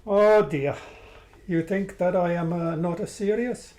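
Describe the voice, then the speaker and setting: A man playing a smug, mock-polite male gangster boss who speaks slow broken English in an amateur radio play. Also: high-pitched, a little mellow. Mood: oily menace. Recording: roomy echo.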